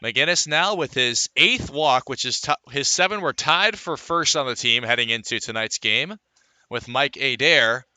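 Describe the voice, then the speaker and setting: A man calling live baseball play-by-play on radio, filling in player stats between pitches. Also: lively intonation, normal speed, pushed and bright, dry recording. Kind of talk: live sports commentary.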